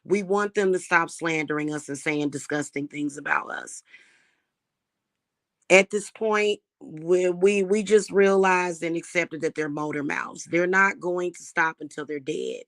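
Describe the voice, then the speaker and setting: A woman with a slightly hoarse voice, talking casually and defiantly to her viewers about her spiritual practice. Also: lowish voice, steady tone, measured pace, a bit bright. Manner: chatty, defiant, addresses viewers as y'all. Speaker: spiritual reader and channel host.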